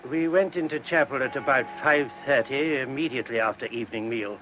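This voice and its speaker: A man's voice: mid-range voice, fairly quick, over music, close to an everyday voice. A man playing an anxious, courteous monk in a radio play, hesitant and apologetic in manner. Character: anxious, courteous monk guiding a police inspector. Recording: noisy old radio recording.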